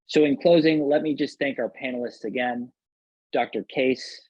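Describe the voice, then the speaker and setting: A man's voice: mid-range voice, moderate pace, darkish tone, slightly rough, no room sound. A man moderating a panel, giving formal closing thanks, on a slightly dull recording.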